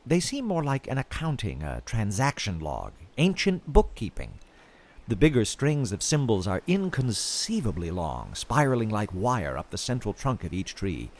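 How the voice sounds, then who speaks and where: A man playing an eerily fascinated field researcher in an audio drama, recording a halting, wondering monologue as he slips toward madness. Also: on the low side, huge pitch swings, exaggerated voice. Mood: intrigued, uneasy.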